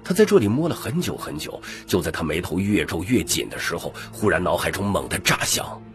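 A man's voice: low voice, sing-song, a little fast. A man narrating an audiobook story in a dramatic, scripted storytelling style. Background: background music.

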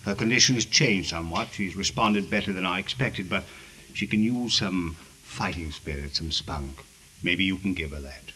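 An actor playing a concerned, businesslike doctor in a 1940s radio drama, measured and earnest. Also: male voice, sits low, sharp tone, rough recording.

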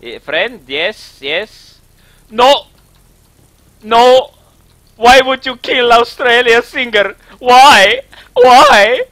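A man with an Estonian accent improvising a campy, pleading singer character in halting broken English during a game stream. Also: extremely high pitch, very animated, pushed and bright, no room sound, voice pushed up, heavily acted. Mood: pleading, anxious. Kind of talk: improvised role-play while streaming a game.

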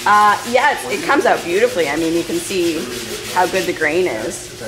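A woman of thirty with a slightly low voice chats casually. She is a student talking to a camera in a workshop. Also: expressive, normal speed, low-quality recording. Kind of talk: casual chat. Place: workshop indoors.

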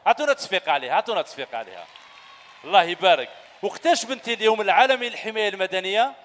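Algerian guy hosting a kids show, high bouncy voice, talks fast, echoey big hall. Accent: Algerian Arabic. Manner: animated, coaxing, asks children questions. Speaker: children's show host. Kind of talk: lively quiz with children on stage. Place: large indoor sports hall full of children. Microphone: handheld mic. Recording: echoey hall, loud crowd.

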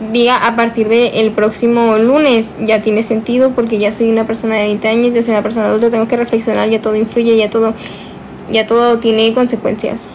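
A nineteen-year-old woman chats and teases on a livestream, her voice a little high and her words sometimes drawn out. She speaks into a phone held close in a small bedroom at night. A faint air-conditioner hum runs underneath. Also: a little mellow. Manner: chatty, teasing, drawn-out words. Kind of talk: casual livestream chat, reading viewer comments. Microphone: phone held close, selfie framing.

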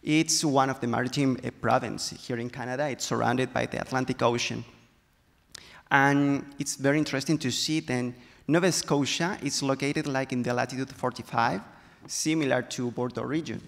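A man with a Chilean accent and a gravelly edge to his voice talks into a handheld mic on stage. He explains in careful second-language phrasing, and the hall leaves a long reverb trailing his words. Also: medium pitch, fairly even intonation, normal speed, fairly bright tone. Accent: Chilean Spanish. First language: Spanish. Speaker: panelist, viticulture specialist. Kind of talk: panel discussion.

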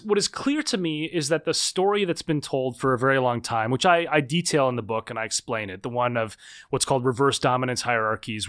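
A man's voice: medium pitch, smooth. Male guest, 30s, chatty explainer with stop-start delivery, mid-range voice. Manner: animated explainer with frequent restarts. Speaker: guest author. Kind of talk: conversational podcast interview.

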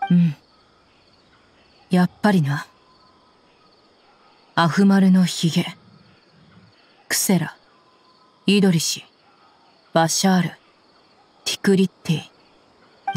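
A woman's voice: mid-range voice, darkish tone, no room sound. An actress playing a tough, confident young woman mercenary in a Japanese anime game, with blunt, intimidating phrasing. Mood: focused.

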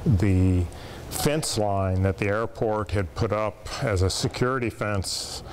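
An older man, a former ski coach, telling his story slowly and deliberately in an interview clip. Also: lowish voice, slight background noise. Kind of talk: interview soundbite.

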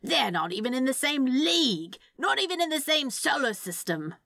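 A woman's voice: high voice, very animated, normal speed, sharp tone, dry recording, studio quality, voice pushed up, full character voice. A woman voicing a snappy, exasperated, paranoid male demon in a storybook reading. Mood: alarmed, indignant. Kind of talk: storybook character voice in fan fiction reading.

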